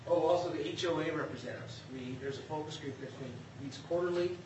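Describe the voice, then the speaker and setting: A man's voice: a little high, fast talker. A man presenting a planning process at a community meeting, hesitant with many fillers. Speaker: city staff presenter. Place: meeting room. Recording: echoey room, distant mic, background noise.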